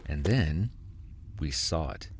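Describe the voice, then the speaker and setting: An actor playing a desperate husband, narrating a survival horror audio drama with intense, halting suspense. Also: male voice, a bass voice, lively intonation, slight background noise, exaggerated voice. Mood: grim suspense. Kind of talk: dramatic first-person horror narration and dialogue. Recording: music under the voice. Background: music.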